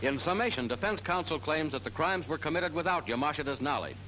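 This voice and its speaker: A man's voice: on the high side, lively intonation, fast, pushed and bright, slight background noise, low-quality recording. A man reads scripted 1940s newsreel narration in a formal, declarative announcer style on a vintage soundtrack.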